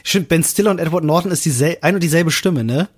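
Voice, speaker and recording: A man's voice: a little high, quick pace. A German man co-hosting a casual podcast, thinking aloud in an agreeable, colloquial way. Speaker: podcast co-host.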